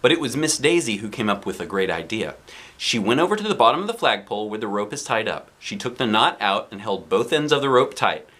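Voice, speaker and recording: A man narrating a children's storybook aloud in an expressive, dramatic way. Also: fairly low pitch, fairly quick. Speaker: storybook reader.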